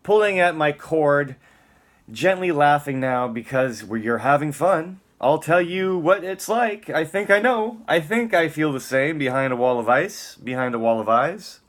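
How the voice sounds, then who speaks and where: A man's voice: fairly high pitch, measured pace. A man giving a dramatic, theatrical spoken-word reading, moving between emphatic lines and muttered casual asides.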